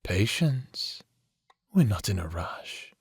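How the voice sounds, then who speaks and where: A man's voice: on the low side, sing-song, takes it slowly, husky, dry recording, clean studio sound, full character voice. A man acting a sadistic, playful vampire villain who taunts theatrically in an audio drama. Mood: gleefully menacing. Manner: taunting, theatrical, toying with words.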